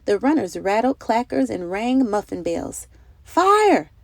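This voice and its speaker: A woman's voice: medium pitch, moderate pace, vocal fry, dark tone, no echo. A woman reading a children's story aloud in a dramatic, carefully phrased narrating style with emphatic delivery. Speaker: storybook reader.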